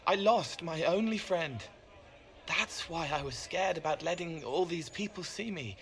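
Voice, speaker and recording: A gentle, haunted, slow-speaking young man. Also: fairly high pitch, expressive. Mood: reflective, tender. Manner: hesitant, halting, plain-spoken and wistful. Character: gentle, troubled young man, once a spirit, childlike and haunted. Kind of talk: video game cutscene acting.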